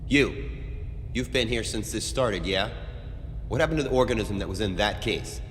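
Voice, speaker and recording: A man voice-acting a gruff, commanding squad leader in a dramatic comic dub, barking terse, urgent orders. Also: on the low side, normal speed, fairly bright tone, lightly acted. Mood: stern, grim. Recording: noisy, long reverb and background ambience.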